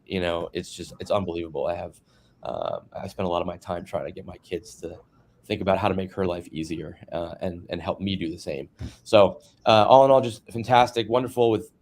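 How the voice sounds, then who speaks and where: A deep-voiced man speaks over a video call. He reflects in a relaxed, rambling way, circling back on his words with frequent fillers. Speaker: party guest. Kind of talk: casual online video chat.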